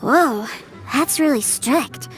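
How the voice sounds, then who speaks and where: An earnest little boy from a video game. The voice is high and slow-paced, full of naive admiration for a big brother, over faint music. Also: lively intonation. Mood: earnest, curious. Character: innocent little boy, adoring younger brother. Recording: music bed behind the voice.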